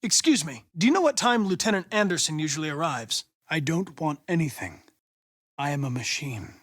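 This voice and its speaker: A man's voice: fairly high pitch, expressive, a bit bright, dry recording, studio quality. A man voicing a young android police investigator in a video game, with an American accent and a precise, formal, mission-driven manner. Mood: cool, probing. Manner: precise, clipped, formal, measured, insistent when pressed. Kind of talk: video game voice acting, isolated dramatic lines.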